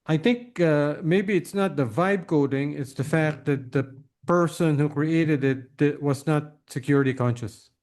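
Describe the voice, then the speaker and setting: A man hosting a casual interview, asking probing questions in a halting way and often repeating words. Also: fairly high pitch, a little mellow. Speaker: interview host.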